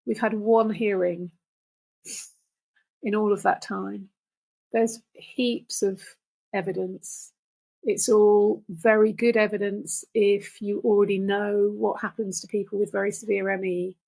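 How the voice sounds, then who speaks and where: An older woman, a podcast guest, talking reflectively and earnestly in deliberate phrases. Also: medium pitch, creaky, darkish tone, low-quality recording. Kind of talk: reflective conversational talk.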